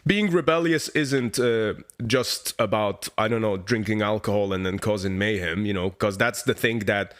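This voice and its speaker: A young man from Iran talks in a very low, deep voice, chatting loosely and eagerly with lots of fillers and the odd curse. He sounds like a fan doing a relaxed interview. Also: moderate pace, hardly any pauses. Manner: loose and excitable, swearing, many fillers. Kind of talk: casual interview chat.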